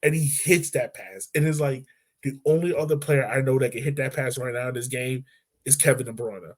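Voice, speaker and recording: Man, podcast co-host, casual sports chat, animated, mid-pitched voice. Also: a little fast. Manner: animated, loose with many fillers and restarts.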